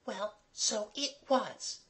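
A narrator voicing a high, plucky young girl. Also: drawn-out words, fairly bright tone. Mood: stout, retorting. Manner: emphatic, retorting. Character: demanding, plucky young girl, stoutly defiant.

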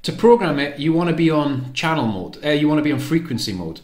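A man's voice: a little high, big pitch swings, quick pace, background noise. A man talks through a practical demonstration in a casual, informal way. He explains step by step and often thinks aloud. His voice carries the echo of an indoor room. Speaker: presenter of a radio programming tutorial. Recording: echoey room sound.

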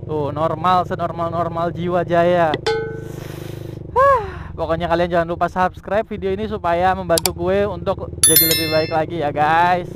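A young man riding a motorcycle outdoors and chatting excitedly into his camera mic, casual and slangy. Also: on the high side, moderate pace. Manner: excitable, slangy, chatty, bursts of loud enthusiasm. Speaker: motovlogger. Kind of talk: casual motorcycle test-ride vlog. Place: outdoors on a moving motorcycle on town streets. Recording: loud engine and traffic noise.

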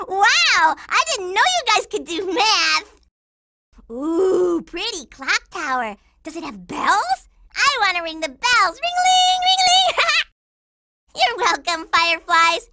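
A bubbly, sweet little girl from a cartoon game. The childlike voice is piercingly bright and swoops up and down as it gushes playfully, taking its time over the words. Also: full character voice. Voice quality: squeaky, childlike. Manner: singsong, gushing, playful. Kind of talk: cartoon video game voice acting.

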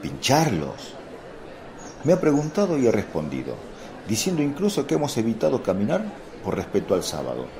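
A man's voice: medium pitch, huge pitch swings, moderate pace. An actor playing a blunt, indignant fisherman apostle in a radio drama, scolding and defensive. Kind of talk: Spanish radio drama acting. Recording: crowd murmur behind voice.

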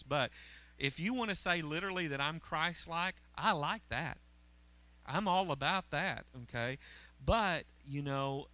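A man's voice: mid-range voice, bright, forceful tone. A middle-aged man, preaching a conversational sermon on a church stage into a handheld mic and often checking in with the congregation. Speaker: pastor. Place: church auditorium stage, indoors.

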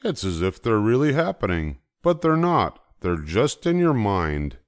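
Man playing a papa bear, low pitch, calm, reassuring, fatherly, storybook character. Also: measured pace. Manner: explaining, reassuring.

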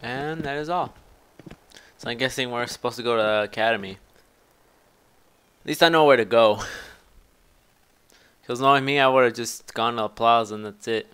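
A man's voice: medium pitch, normal speed, pauses often, fairly bright tone, clear, resonant tone. A man doing casual video game commentary, rambling and thinking aloud. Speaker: gameplay commentator. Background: game music.

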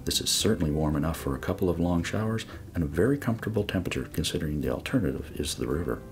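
A man giving a scripted voice-over for a do-it-yourself build, explaining each step in a measured, methodical way. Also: a bass voice, expressive, vocal fry. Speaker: DIY builder narrating a project.

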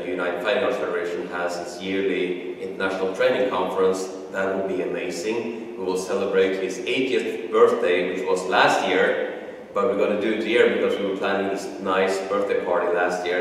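A man's voice: low voice, a little hiss or hum. A middle-aged man with a Norwegian accent, a martial arts instructor, giving an earnest, emotional video tribute with frequent restarts in a studio. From Norway. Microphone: camera at a distance. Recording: echoey room, far from mic.

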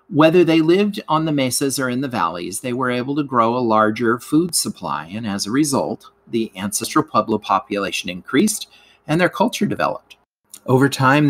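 A man reading a scripted educational narration aloud like a teacher, in a measured, explanatory way. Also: fairly low pitch, fairly bright tone.

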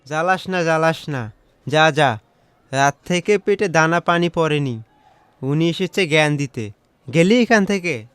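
Man acting grumbling slow-talking cartoon everyman. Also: fairly high pitch, lively intonation, a bit bright, smooth, no room sound. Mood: irritated. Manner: talks to himself, exclaiming, sighing. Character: Sharif, grumbling poor bachelor office worker, kind-hearted. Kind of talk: animated folk tale voice acting.

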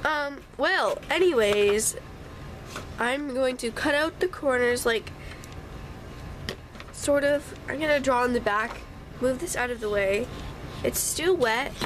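A woman's voice: sits high, takes it slowly, pauses often, soft background music, poor audio. Stuffy-nosed woman narrating a craft tutorial. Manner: chatty, apologetic asides while working. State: congested, stuffy nose. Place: home craft table, indoors. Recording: faint sump pump hum behind.

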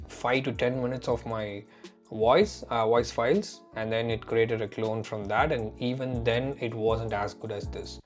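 A young man with a mixed Indian and Canadian accent reviewing a tech tool in casual, filler-heavy chat from a home room. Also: medium pitch. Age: young adult. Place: home room lit by neon lights.

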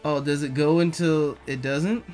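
A man doing let's play commentary on a video game. He is excitable, repeats catchphrases, swears a lot, and his voice is getting hoarse. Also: fairly high pitch, unhurried, faint music behind. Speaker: gaming YouTuber.

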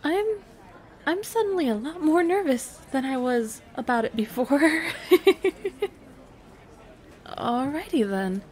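A woman's voice: sits high, lively intonation, slow pace, pauses often, darkish tone. A woman playing an affectionate, teasing young girlfriend on a secret cafe date in an intimate roleplay audio, speaking casually with hesitant restarts. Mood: nervous, tender.

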